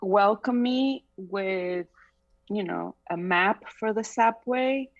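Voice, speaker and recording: A woman's voice: medium pitch, slow pace. A middle-aged woman from Chile with a Chilean Spanish accent, her first language being Spanish, talking reflectively in a casual interview and laughing often. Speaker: singer, interview guest. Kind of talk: casual conversation. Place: bright room at home. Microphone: video call. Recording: slightly dull video call audio.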